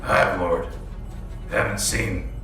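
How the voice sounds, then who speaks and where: Actor as gruff male soldier, gravelly, terse, wary, echoing space, music underneath, sci-fi audio drama. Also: sits low, fairly even intonation, slow, bright, forceful tone, recorded from a distance, heavily acted. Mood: tense awe. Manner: terse, clipped phrases.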